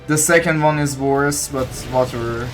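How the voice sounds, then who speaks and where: A man giving casual, excitable gameplay commentary, often repeating his words. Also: mid-range voice, moderate pace, rough recording. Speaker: gamer commentating play. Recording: game music behind. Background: video game music.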